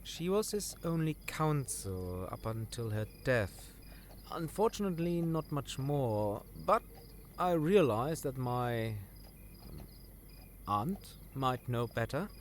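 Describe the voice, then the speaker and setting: Man playing a courteous, animated young fantasy nobleman. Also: medium pitch, takes it slowly, slight background noise. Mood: explanatory. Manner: formal, deferential, slightly hesitant. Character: courteous, dutiful young nobleman guiding royal travelers. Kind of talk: scripted fantasy audio roleplay.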